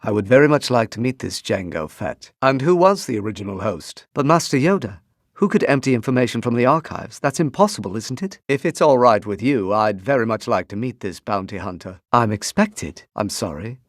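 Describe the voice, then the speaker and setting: A man's voice: medium pitch, expressive, fairly quick, no echo, natural voice. A male actor playing a composed, wry Jedi master in a video game, with a refined British accent and dry, formal phrasing. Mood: calm, polite. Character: composed, wry Jedi master, adult man mentoring an apprentice. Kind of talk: video game voice clips, short barks and dialogue lines.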